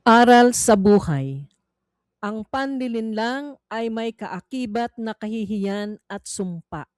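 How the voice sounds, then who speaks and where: A Filipino woman narrating a scripted read-along Bible quiz in a measured, deliberate way with pauses between phrases. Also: fairly high pitch, animated, a little mellow, clean tone, no echo, studio quality.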